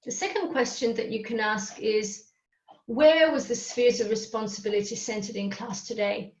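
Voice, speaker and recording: Hungarian-native woman giving a webinar over a video call, kinda high voice, echoey and muffled audio. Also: moderate pace. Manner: explanatory, often checks in with "okay?". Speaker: webinar presenter on language coaching. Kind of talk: live webinar talk.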